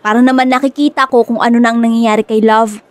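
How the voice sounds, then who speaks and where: A woman playing an excitable, stubborn young woman who dotes on her pet dog in a radio drama, gushing and chatty. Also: on the high side, fast, lightly acted. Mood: impatient, fretting. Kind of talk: Filipino radio drama acting.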